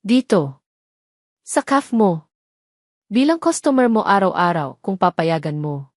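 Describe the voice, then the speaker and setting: A woman's voice: mid-range voice, very animated, unhurried, dry recording, studio quality. A woman voicing an earnest, remorseful man in love in a romantic audio drama. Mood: pleading, heartfelt.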